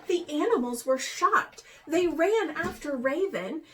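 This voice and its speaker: A woman, a teacher, reading a children's storybook aloud in an expressive way. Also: high-pitched, slow.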